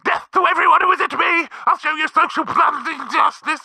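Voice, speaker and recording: A man's voice: very high-pitched, a little fast, gravelly, sharp tone, dry recording, heavily acted. A man voicing a self-pitying, grandiose slug who rants indignantly in an absurd radio comedy. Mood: megalomaniac fury.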